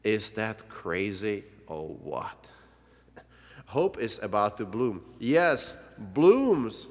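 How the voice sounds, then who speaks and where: A man's voice: fairly low pitch, very animated. A man narrating a children's read-along story in a dramatic way, drawing out words for effect. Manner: dramatic, with drawn-out words and exclamations. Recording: long hall-like reverb.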